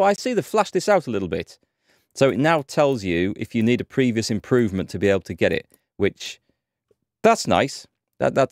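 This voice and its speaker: North yorkshire guy rambling thru a strategy game, lowish voice, dry close headset sound. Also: a little mellow, no room sound. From England. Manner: chatty, thinks aloud, rambling asides. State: slightly under the weather with a cold. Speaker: gaming streamer. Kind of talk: casual let's-play commentary. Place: home streaming room, indoors. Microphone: headset mic.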